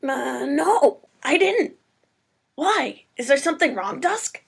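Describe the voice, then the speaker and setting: A woman's voice: sits high, sing-song, takes it slowly, vocal fry, heavily acted. A woman voicing a small, eager female baby dragon assistant in a cartoon-style audio story, gushing and informal. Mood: puzzled.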